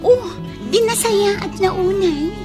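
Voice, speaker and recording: A woman acting a friendly, expressive young club worker in an Ilocano radio drama. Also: very high voice, takes it slowly, music in the background. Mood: friendly, eager.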